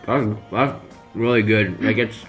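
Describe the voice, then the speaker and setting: A man's voice: fairly low pitch, measured pace, faint music behind. A young man chatting casually and playfully with his partner at home while they eat. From Indonesia. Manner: easygoing, playful, lively intonation. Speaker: vlog co-host, partner. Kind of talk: casual chat. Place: home kitchen and living room, indoors.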